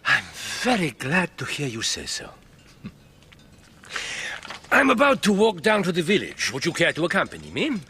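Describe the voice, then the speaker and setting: An actor playing a worldly, insistent intellectual who moralises eloquently and pointedly in a radio drama. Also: male voice, fairly high pitch, huge pitch swings, moderate pace, pauses often, sharp tone, slight background noise, full character voice. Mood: wry, probing. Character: worldly, insistent male Italian intellectual, elegant moralising mentor.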